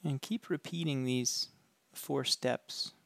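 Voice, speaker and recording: A man leading a guided meditation with calm, gentle, unhurried instructions. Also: medium pitch, vocal fry, dry recording.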